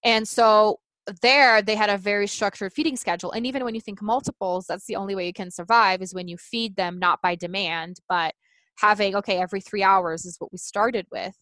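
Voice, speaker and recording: A woman's voice: mid-range voice, fairly even intonation, brisk, fairly bright tone, no room sound. A woman in her thirties whose first language is Portuguese, a life coach talking earnestly as an interview guest, thinking aloud with restarts and frequent "you know"s. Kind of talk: casual interview chat.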